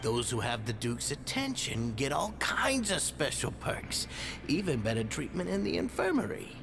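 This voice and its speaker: An actor playing a breezy, smug inmate sidekick in a video game, sly and playfully theatrical. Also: male voice, medium pitch, huge pitch swings, moderate pace, creaky, noisy background. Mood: gossipy. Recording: game music behind the voice.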